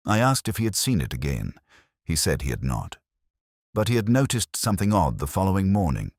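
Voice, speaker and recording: Very deep, husky male mystery narrator. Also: no echo, studio quality. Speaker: audiobook narrator. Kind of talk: scripted first-person detective story narration.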